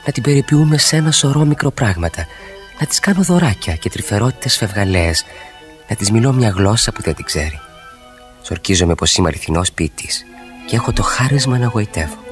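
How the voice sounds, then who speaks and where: Actor as restless romantic husband, swooping delivery. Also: male voice, fairly low pitch, expressive, faint music behind, close to an everyday voice. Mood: pleading, ardent. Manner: rhetorical, emphatic, repeats phrases. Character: restless romantic husband of thirty-five, yearning and eloquent. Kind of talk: 1960s radio play acting.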